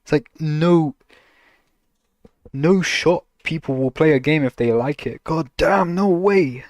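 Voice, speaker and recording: A man's voice: a little high, takes it slowly, darkish tone, dry recording. A man casually reacting to videos on a stream, laughing a lot and teasing in a slangy, joking way.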